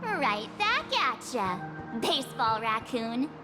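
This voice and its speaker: An actress voicing a bubbly, cheeky young woman adventurer in a video game, bright and playful. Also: fairly high pitch, very animated, takes it slowly. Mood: perky. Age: young adult. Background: game soundtrack music.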